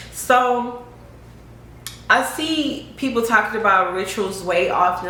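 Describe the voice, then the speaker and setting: A woman's voice: mid-range voice, fairly bright tone. A young adult woman, chatting casually to camera about spiritual routines, slangy and repetitive. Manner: chatty, slangy, repeats phrases, says "you know what I'm saying". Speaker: vlogger. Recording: very echoey room, faint hiss.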